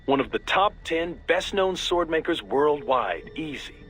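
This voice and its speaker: Chatty male tech advisor, highish voice, animated intonation, easygoing, game briefing dialogue. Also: unhurried, breathy edge, full character voice. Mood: amused, casual. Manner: casual, explanatory, enthusiastic. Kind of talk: video game radio briefing voice acting.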